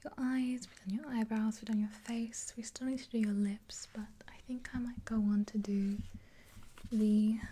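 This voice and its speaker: A woman in her twenties whispering a gentle ASMR roleplay in a breathy, unhurried, hesitant voice, close to the mic. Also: on the high side, flat delivery. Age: 20s. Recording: hissy close recording with clicks.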